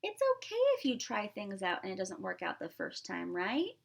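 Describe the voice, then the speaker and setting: A woman's voice: mid-range voice, big pitch swings, normal speed, creaky. A woman reading a picture book aloud as a pre-K teacher, expressively, with simple asides to young children.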